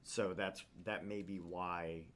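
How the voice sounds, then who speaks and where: A man's voice: deep voice, fairly even intonation, slow, fairly bright tone, a little hiss or hum. A man co-hosting a let's play, switching between casual chat and narrating the story aloud. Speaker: co-host and narrator of a visual novel let's play.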